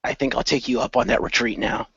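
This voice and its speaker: A man's voice: medium pitch, steady tone, fast, fairly bright tone, slightly rough, no room sound, muffled recording. A man voicing a dragon hero in an amateur radio play. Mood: resolved. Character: male dragon hero with a tail, excitable.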